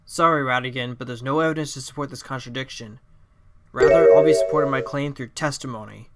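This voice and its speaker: A man playing an earnest, confident fox defence lawyer in an audio drama, reasoning aloud deliberately. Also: mid-range voice, over a noisy crowd, close to an everyday voice. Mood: confident, assertive. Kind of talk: audio drama courtroom acting. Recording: prominent music under the voice.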